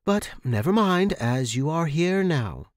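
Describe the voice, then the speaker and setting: A man voicing a stern, bossy landlady in audio fiction, giving brisk, clipped instructions. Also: fairly high pitch, big pitch swings, dry recording, clean studio sound, pitched above the performer's own voice. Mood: impatient. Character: stern, bossy woman landlady with strict house rules. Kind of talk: audio fiction character reading.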